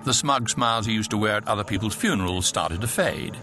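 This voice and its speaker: An actor as a wry, urbane narrator of a comic science fiction radio drama. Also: male voice, lowish voice, music in the background, lightly acted. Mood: ironically grave. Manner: wry, precise, measured irony. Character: wry, urbane storybook narrator of galactic absurdities. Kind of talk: scripted narration, radio comedy.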